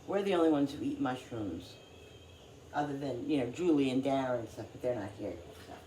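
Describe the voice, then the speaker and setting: A woman's voice: extremely low pitch, lively intonation, fairly quick, dark tone, a little hiss or hum. A middle-aged woman with a cold, chatting casually and rambling with many fillers while she cooks in her kitchen. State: congested with a cold. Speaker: cooking vlogger. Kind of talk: casual chat while cooking. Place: home kitchen.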